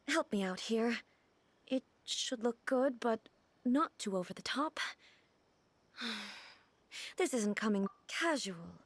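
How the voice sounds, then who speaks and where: A woman voicing a regal, composed female ruler in a video game cutscene, speaking in measured, formal and earnest sentences. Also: fairly high pitch, no echo, exaggerated voice.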